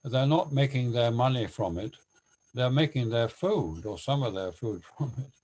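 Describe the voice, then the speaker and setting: A man giving a reflective, measured comment in an online discussion, pausing hesitantly as he thinks. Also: mid-range voice, expressive, darkish tone, breathy edge. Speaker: webinar participant.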